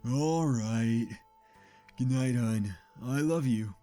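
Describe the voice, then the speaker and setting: A man's voice: mid-range voice, warm tone, faint music behind, close to an everyday voice. A man voicing a hesitant, affectionate stallion pony husband in a cartoon fan-fiction story. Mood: resigned, affectionate. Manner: hesitant, halting. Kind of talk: dramatized fan fiction reading.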